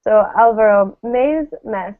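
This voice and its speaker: A woman's voice: fairly high pitch, slow pace, phone-quality sound. A woman teaching English pronunciation in a live online lesson, encouraging and carefully enunciating model words over thin call audio. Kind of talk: live online lesson, drilling word pairs.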